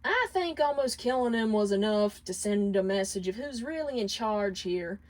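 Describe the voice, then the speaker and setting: A woman's voice: mid-range voice, animated, normal speed, natural voice. A woman voicing a brash, manipulative ex-wife in a dramatic fan fiction reading. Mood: sinister. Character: brash, manipulative scheming ex-wife, defensive and pleading.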